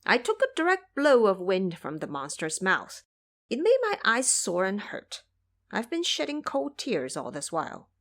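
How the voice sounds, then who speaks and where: A woman voicing a proud, excitable male monkey warrior in an audio drama, exclamatory and boastful. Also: medium pitch, a little slow. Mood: sore, complaining. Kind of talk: audio drama character dialogue.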